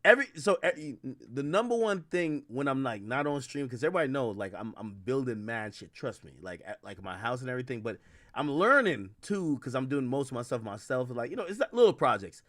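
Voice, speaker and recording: A man's voice: mid-range voice, big pitch swings, a little fast, a bit bright, no echo. A man from Jamaica hosting a livestream, chatting casually in hip-hop slang, rambling and often stammering as he circles back over his words. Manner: rambling, repetitive, frequently stammering mid-thought. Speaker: livestream host interviewing guests by call.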